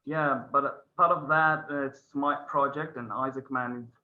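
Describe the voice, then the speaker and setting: A man musician speaking haltingly with many fillers on a call. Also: medium pitch, fairly even intonation. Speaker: musician guest. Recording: dull lo-fi call audio.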